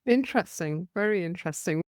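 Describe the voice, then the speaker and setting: A woman hosts a podcast interview. Her voice sits somewhat low, and she asks brief questions and gives short encouraging responses. The sound is dry. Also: expressive, a little fast, darkish tone, no echo. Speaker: podcast host.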